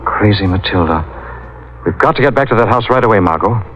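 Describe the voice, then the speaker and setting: An actor playing a suave, determined amateur detective, crisp and authoritative, in an old radio drama. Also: male voice, fairly low pitch, animated, brisk, faint music behind. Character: assured, suave, determined male amateur sleuth. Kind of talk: 1950s radio drama acting. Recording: dull old radio recording.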